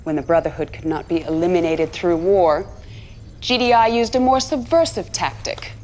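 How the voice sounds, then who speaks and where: An actress playing a zealous propagandist for a militant cult, narrating a game cutscene in an earnest, measured way. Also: female voice, on the low side, darkish tone, lightly acted. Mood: indignant, fervent.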